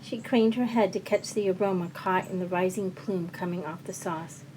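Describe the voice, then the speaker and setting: A woman's voice: on the low side, normal speed, darkish tone, rough recording. A woman reading scripted fiction as a storyteller. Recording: faint background ambience noise.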